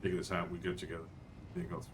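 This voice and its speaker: A man's voice: low-pitched, big pitch swings, racing, creaky. A man streaming gameplay live, reading along and reacting, recorded at a distance with heavy noise. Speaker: game streamer. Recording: very noisy, distant from microphone.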